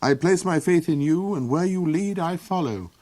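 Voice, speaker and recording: A man's voice: fairly high pitch, moderate pace, a little mellow, voice pushed up, close to an everyday voice. A man voicing a bold, romantic young wandering minstrel who sings some of his lines in a lilting voice. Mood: earnest.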